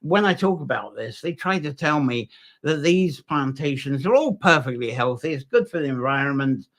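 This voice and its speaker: A man's voice: fairly high pitch, animated, normal speed, fairly bright tone. A man hosting a casual nature talk, rambling with many fillers and restarts. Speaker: host.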